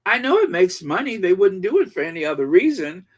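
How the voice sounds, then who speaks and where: A man in his forties hosting a casual commentary channel, rambling with many pauses and fillers while reading viewer comments. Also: high-pitched, very animated. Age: 40s. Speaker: channel host.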